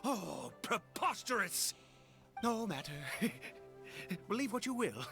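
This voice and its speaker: A man's voice: high-pitched, big pitch swings, slow, vocal fry, music in the background, full character voice. An actor playing a desperate, fervent father in a video game, theatrical and stammering, with breathless exclamations. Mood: indignant, zealous. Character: overjoyed, fervent, desperate father clinging to his son. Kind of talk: dramatic game voice acting.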